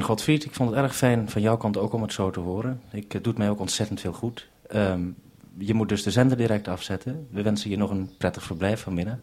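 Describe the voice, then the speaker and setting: Husky, low-voiced radio man. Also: darkish tone. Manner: hesitant, reassuring. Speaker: radio programme maker. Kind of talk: two-way radio conversation for broadcast. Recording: 1971 radio broadcast recording.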